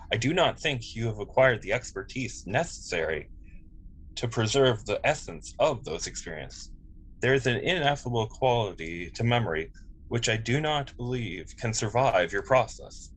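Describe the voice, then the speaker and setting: A man playing a polite, logical android officer in a science fiction table read, speaking formally and precisely with calm dignity. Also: fairly low pitch, low-quality recording. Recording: clear background noise, room ambience.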